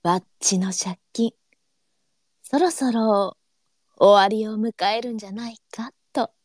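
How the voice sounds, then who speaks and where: A woman's voice: medium pitch, measured pace, no room sound, studio quality, lightly acted. Woman as a composed, teasing senior courtesan. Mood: gentle, composed. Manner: archaic courtesan dialect, composed. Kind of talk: Japanese period audio drama acting.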